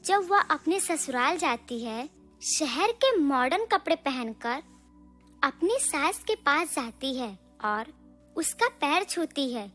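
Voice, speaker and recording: A woman's voice: high voice, animated, takes it slowly, fairly bright tone, soft background music. A woman narrating a scripted moral story.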